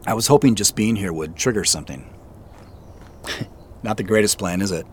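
A man's voice: on the low side, moderate pace, slight background noise, lightly acted. An actor playing an uneasy, self-deprecating businessman in a naturalistic audio drama, talking hesitantly with small chuckles. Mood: tentative, thoughtful. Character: uneasy, wry businessman searching for answers.

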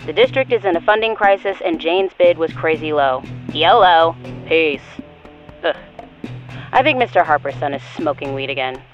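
A woman acting a blunt, sardonic bus company office colleague in a dark comedy podcast, speaking in a deadpan way. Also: sits low, moderate pace, dull audio, soft background music.

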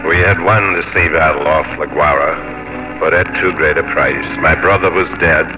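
Deep-voiced, grave English sea captain. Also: male voice, normal speed. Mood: grim. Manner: solemn, dramatic narration. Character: grave, vengeful English sea captain telling his tale. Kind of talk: 1950s radio drama acting. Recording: muffled old radio broadcast under loud music.

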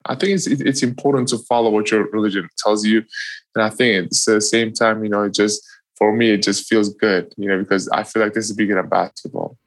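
Man, young adult, Turkish accent, interview guest, earnest, casual fillers, lowish voice, dry sound. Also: fairly even intonation, brisk, dry recording. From Turkey. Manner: casual, earnest, many fillers like "you know". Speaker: guest, professional athlete.

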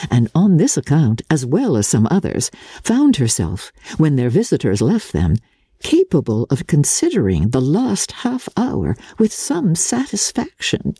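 A woman narrating classic fiction in a theatrical, measured and deliberate literary style, with a husky voice. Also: a bass voice, warm tone, dry recording, studio quality. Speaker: audiobook narrator.

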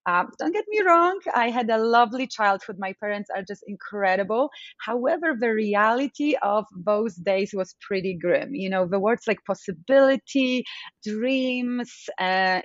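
A woman's voice: mid-range voice, dry recording, muffled recording. Polish woman telling a heartfelt story. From Poland. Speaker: guest, mindset coach. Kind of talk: storytelling interview answer.

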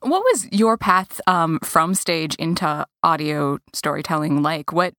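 A woman with a slightly low voice conducts a conversational interview. Her voice is recorded very dry, with no audible room around it. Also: animated, a little slow, a bit bright, no room sound.

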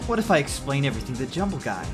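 A man's voice: medium pitch, very animated, rapid-fire, music in the background, lightly acted. A man playing a self-assured, possessive young suitor in an audio drama. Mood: desperate pleading. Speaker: actor.

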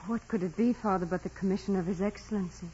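An actress playing a humble, innocent peasant girl, soft, hesitant and sincere, in 1940s radio drama style. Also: mid-range voice, quick pace, lightly acted. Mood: weary, protesting. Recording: muffled, noisy vintage radio broadcast.

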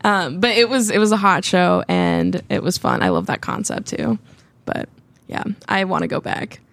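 A woman's voice: lowish voice, big pitch swings, normal speed, gravelly, no room sound. A woman hosting a podcast and chatting casually, rambling and peppering her talk with "like". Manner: rambling, heavy use of "like". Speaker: podcast co-host and stand-up comedian.

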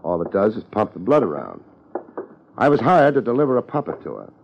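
An actor playing a tough, laid-back private investigator in a 1950s radio drama, terse and wisecracking, with dry narration. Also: male voice, lowish voice, huge pitch swings, fairly quick, creaky, exaggerated voice. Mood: dry, weary. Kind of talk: 1950s radio detective drama acting with first-person narration. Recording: muffled, noisy 1950s radio recording.

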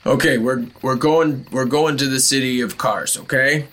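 A man's voice: medium pitch, steady tone, moderate pace, fairly bright tone, close to an everyday voice. Man doing a bossy cult raider. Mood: irritated. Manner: condescending, hesitant restarts.

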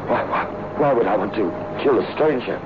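A man's voice: medium pitch, normal speed, lightly acted. An actor playing a frightened, guilt-ridden, easily led man in a 1960s radio horror drama, agitated and hesitant. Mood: fearful, confused. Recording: muffled, very noisy old radio recording.

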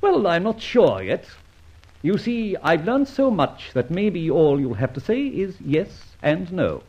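An actor playing a suave, vengeful gentleman adventurer and ex-officer, speaking with theatrical, mocking irony in an old radio drama. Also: high-pitched, big pitch swings, slow, slightly rough, full character voice. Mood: menacing, smug. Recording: muffled old radio recording.

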